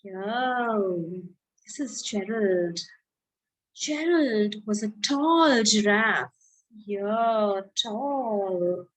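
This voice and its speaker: A woman with an Indian accent who speaks deliberately, as a children's storyteller addressing young listeners expressively. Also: mid-range voice, expressive, rough recording. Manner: slow, deliberate, child-directed delivery with drawn-out words. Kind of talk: expressive read-aloud for children.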